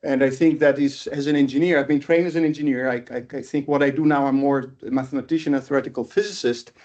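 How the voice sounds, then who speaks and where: A middle-aged man from Italy with an Italian accent gives an informal academic seminar talk as a professor, speaking haltingly and repeating words as he thinks. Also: mid-range voice, steady tone, a little mellow. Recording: dull, lo-fi lecture audio.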